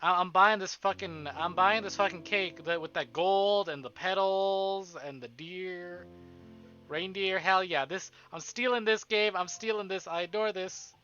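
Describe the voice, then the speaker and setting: A man live-streaming a visual novel, reading it aloud and breaking into casual, expressive asides with swearing. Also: high-pitched, measured pace, dull audio. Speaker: game streamer. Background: game music.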